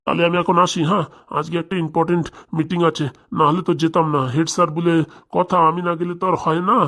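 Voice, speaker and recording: A man's voice: on the high side, level tone, fast, fairly bright tone. A man voicing a caring, mildly bossy head schoolmaster in a comedy cartoon, fretful and instructing.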